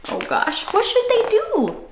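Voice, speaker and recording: A young adult woman reading a picture book aloud to young children in an animated, exclamatory, questioning way. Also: extremely high pitch, measured pace, slight background noise. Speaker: children's read-aloud host. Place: small cozy indoor room. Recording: roomy indoor echo.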